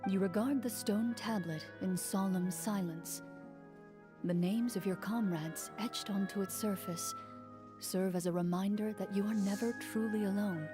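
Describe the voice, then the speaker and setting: Woman voicing a game narrator, solemn, measured, music behind. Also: medium pitch, natural voice. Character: solemn female storyteller guiding the quest.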